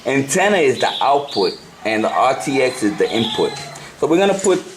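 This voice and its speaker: A man with a Puerto Rican accent, a native Spanish speaker, gives a casual hands-on tutorial as a hobbyist, halting, repeating and correcting himself as he goes. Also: mid-range voice, big pitch swings, music in the background. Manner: halting, repetitive, self-correcting. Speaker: amateur radio hobbyist giving a tutorial. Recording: noisy amateur camcorder sound.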